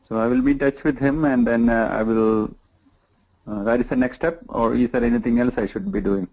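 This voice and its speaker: A man's voice: mid-range voice, fairly even intonation, a little fast, dark tone, low-quality recording. A man from Kerala with an Indian English accent, a new trainee who politely asks questions and sometimes restarts his sentences. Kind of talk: casual conversation.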